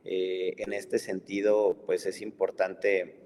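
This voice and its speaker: A man hosting an online talk in a relaxed, conversational way, with lots of filler words. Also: sits low, slow pace. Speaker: webinar host. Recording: long reverb, faint background noise.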